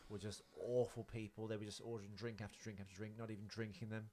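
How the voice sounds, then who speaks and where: A man's voice: lowish voice, level tone, fast talker, mellow. A British man hosting a podcast, telling rambling anecdotes in an informal, sweary, self-interrupting way. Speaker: podcast host. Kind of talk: casual chat, rambling anecdotes.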